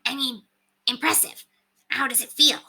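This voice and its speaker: Lady doing a cynical little boy rat from a storybook, chatty, high and bright, grumbles a lot. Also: fairly even intonation, moderate pace, creaky, slightly rough, full character voice. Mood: wry. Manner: wisecracking, grumbling, informal.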